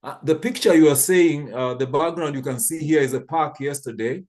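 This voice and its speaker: A man's voice: on the high side, normal speed, darkish tone. Man in his fifties, from Kenya. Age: 50s. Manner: thoughtful storytelling with frequent restarts. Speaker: panelist. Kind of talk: church panel discussion.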